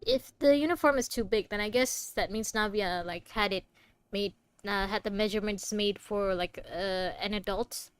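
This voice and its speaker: A woman's voice: medium pitch, normal speed, smooth, no echo. A young adult woman streaming a game, chatting casually and reacting in a playful, teasing way. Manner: playful, teasing, mild swearing. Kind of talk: casual let's play commentary, reading along and reacting.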